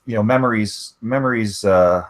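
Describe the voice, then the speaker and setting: A man's voice: on the low side, moderate pace. A man in a casual online chat who rambles, filling his talk with "you know" and "right". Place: online voice hangout.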